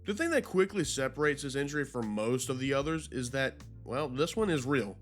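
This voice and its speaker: A man's voice: mid-range voice, expressive, a little fast, soft background music. A man hosting a video commentary, narrating in an informal, slangy, conversational way. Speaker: YouTube host.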